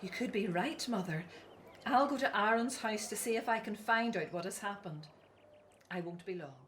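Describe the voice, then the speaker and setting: A woman's voice: mid-range voice, normal speed, a bit bright, slight background noise, natural voice. A woman playing a dutiful, outspoken grown daughter in an audio drama set in ancient Egypt. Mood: subdued.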